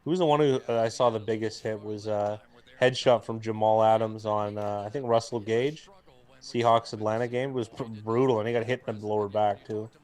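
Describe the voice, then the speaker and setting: A young man with a booming voice, co-hosting a live football watch stream in rambling casual chat, mic clipped to his jacket. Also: fairly even intonation, brisk, a little mellow. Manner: rambling, talkative, full of restarts and fillers. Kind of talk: casual chat and game commentary. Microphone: jacket used as mic mount.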